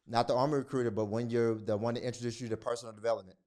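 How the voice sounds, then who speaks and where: A man's voice: mid-range voice, fairly even intonation, rapid-fire, warm tone. A man hosting a podcast interview, asking questions with a lot of hesitation and fillers. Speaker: podcast host. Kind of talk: casual interview.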